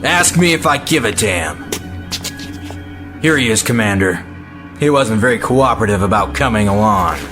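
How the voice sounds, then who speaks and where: A man playing a gruff, blunt armed crewman in a sci-fi audio drama. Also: fairly low pitch, expressive, normal speed, long pauses, music in the background, close to an everyday voice.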